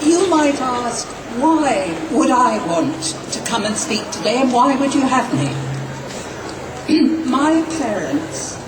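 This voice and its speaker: A woman, giving a slow, measured, impassioned speech at an outdoor protest rally. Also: sits high, big pitch swings. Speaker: rally speaker. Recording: distant echoey rally recording with noise.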